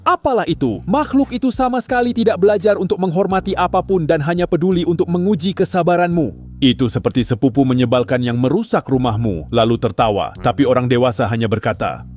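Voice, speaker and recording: A man's voice: on the high side, darkish tone. A man giving comic, sarcastic scripted narration with an exaggerated ranting delivery.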